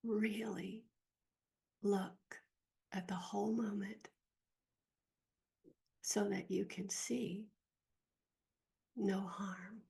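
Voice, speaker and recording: A woman leading a guided meditation as a teacher, speaking gently and softly in a breathy, husky voice with long pauses. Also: mid-range voice, flat delivery. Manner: slow, gentle, soft-spoken with long pauses.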